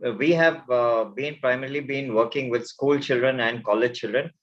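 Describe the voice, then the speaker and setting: A man's voice: mid-range voice, normal speed. A polite, earnest man speaks as a guest on a panel held over a video call. His audio comes through a bit muffled and dull.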